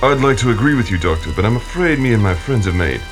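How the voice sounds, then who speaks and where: A man playing a laid-back, menacing radio DJ villain in an audio drama, with smooth hip patter. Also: lowish voice, expressive, fast talker, natural voice. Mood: smugly menacing. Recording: loud music under the voice.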